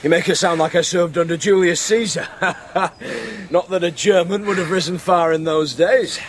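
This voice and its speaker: Man acting high-voiced gruff soldier-tutor. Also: steady tone, normal speed, close to an everyday voice. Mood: jovial. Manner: barking, jovial instruction. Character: gruff, good-humoured veteran German soldier, sword tutor. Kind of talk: radio drama acting. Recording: noisy radio drama training scene.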